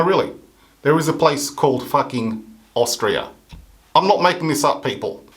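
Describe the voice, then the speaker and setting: Man from Africa, comedy host, sarcastic satirical monologue with punchy mock outrage, voice sits a touch high.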